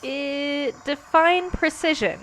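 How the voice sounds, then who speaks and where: A woman's voice: sits high, slow pace, fairly bright tone, a little hiss or hum. An actress playing a breezy, reckless female starship pilot, playful and flippant, in a comedy radio drama. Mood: teasing. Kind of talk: sci-fi comedy radio acting.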